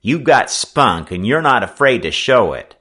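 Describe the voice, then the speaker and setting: A young sailor lad, read by a male narrator, blunt and loyal. He talks plainly and firmly, with lively pitch, in the manner of an adventure audiobook. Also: unhurried. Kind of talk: audiobook character acting.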